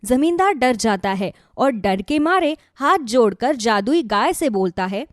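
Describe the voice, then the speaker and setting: A woman's voice: fairly high pitch, expressive, measured pace, dry recording, studio quality. A woman reading a scripted moral tale as a storyteller. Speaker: narrator.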